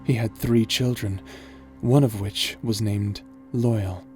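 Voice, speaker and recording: Low-voiced man narrating over music. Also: steady tone, slow, darkish tone. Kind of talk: scripted story epilogue narration.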